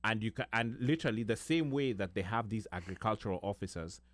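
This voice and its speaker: A man's voice: on the low side, lively intonation, fast, dry recording. A man with a Kenyan accent chatting on a talk radio show, with frequent restarts and Swahili phrases mixed in. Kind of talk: casual talk radio discussion.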